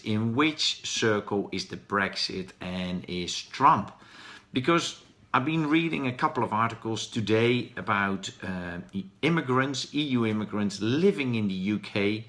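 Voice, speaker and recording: A man's voice: fairly low pitch, sharp tone. A man in his forties from the Netherlands with a Dutch accent, talking earnestly and encouragingly on a daily live stream, with frequent ums and uhs. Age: 40s. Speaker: vlogger.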